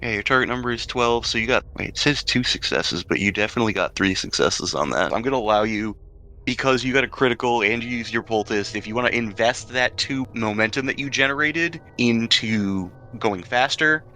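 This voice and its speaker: A man's voice: medium pitch, brisk, pushed and bright. A man hosting a tabletop role-playing game as game master, casual and joking, happy to swear.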